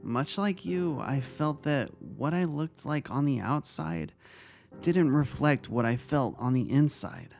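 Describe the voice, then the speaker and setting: A man acting a kindly, caring male vice-principal and mentor in a dramatic story reading, speaking gently and earnestly. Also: mid-range voice, expressive, slow pace, gravelly. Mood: reflective, confiding. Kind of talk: dramatic reading of fan fiction. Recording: music under the voice.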